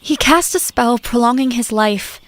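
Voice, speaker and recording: An earnest, loyal confidant played by a woman in an audio drama. The voice is high, and the counsel comes in measured, formal words. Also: dry recording, lightly acted.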